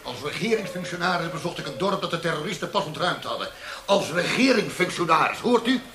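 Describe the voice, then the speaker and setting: An actor playing a menacing, sneering government interrogator in a Dutch radio play, mocking and theatrical, with deliberate threats. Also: male voice, on the high side, lively intonation, close to an everyday voice. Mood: bitter, intense. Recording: hissy old radio play recording.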